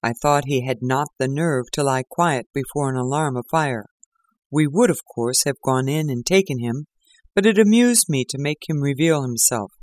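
A man voicing a calm, assured gentleman detective with a dryly amused manner. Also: mid-range voice, moderate pace, no echo, natural voice.

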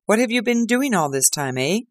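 A man doing a loud, blustering police inspector. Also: sing-song, brisk, no echo, studio quality. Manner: loud, blustering, high-pitched. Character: gruff excitable male police inspector. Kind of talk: audiobook character reading.

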